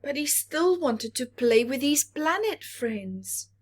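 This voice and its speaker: Slow, expressive woman reading to children. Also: high-pitched. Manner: expressive, asks the listeners questions. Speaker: children's storyteller. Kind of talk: story read-aloud with explanations for young children.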